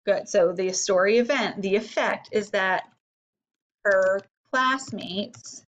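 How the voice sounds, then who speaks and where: A woman's voice: medium pitch, slow, a bit bright, highs cut off. A woman teacher reading a storybook aloud and guiding children with instructive questions. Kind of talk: storybook read-aloud with guided lesson.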